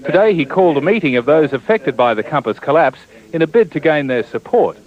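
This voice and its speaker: A man's voice: on the high side, fairly quick. Matter-of-fact male news reporter. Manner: steady, matter-of-fact narration. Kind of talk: scripted news report voice-over. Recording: dull old broadcast tape.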